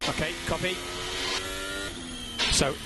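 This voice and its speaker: A man's voice: a little high, steady tone, slow, very noisy. A man working as a race engineer on team radio, giving clipped, repeated instructions and updates and urging his driver on.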